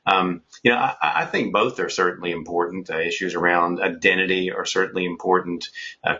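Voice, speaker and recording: A man on a panel explaining poll findings in a measured way, leaning on filler phrases, with a husky voice. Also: low voice, bright, forceful tone, muffled recording. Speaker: pollster panelist. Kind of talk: virtual panel discussion.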